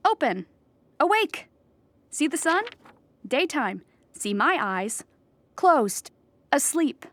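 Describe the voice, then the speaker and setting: An actress playing an anxious, earnest, depressed clown in a theatrical audio drama monologue, explaining with dramatic emphasis. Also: female voice, high-pitched, no room sound. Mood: insistent, explaining.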